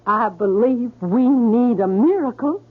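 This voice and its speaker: Pleading young sweetheart, high, very animated, old radio drama. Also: female voice, measured pace, husky. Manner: earnest, emotional. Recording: tinny old radio broadcast.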